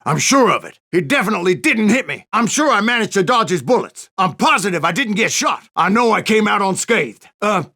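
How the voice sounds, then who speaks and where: An actor playing a grizzled old bounty hunter, a boastful, folksy storyteller with a gravelly Western American drawl in a video game. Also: male voice, high voice, very animated, fairly quick, hardly any pauses, pushed and bright, exaggerated voice. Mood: flustered, insistent. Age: older. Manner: folksy, salty, yarn-spinning. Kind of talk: dramatic first-person video game narration.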